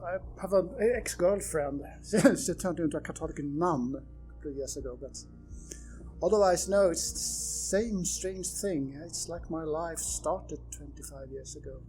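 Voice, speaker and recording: Mellow higher voice, Swedish-accented man, baffled in-character player. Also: measured pace, faint music behind, low-quality recording. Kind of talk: in-character tabletop roleplay.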